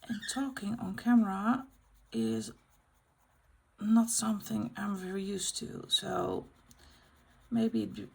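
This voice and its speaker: Slow, gravelly Dutch-accented woman. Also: medium pitch, lively intonation, darkish tone, rough recording. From Netherlands. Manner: unscripted, pauses to find words. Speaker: colouring channel host. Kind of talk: casual chat while colouring.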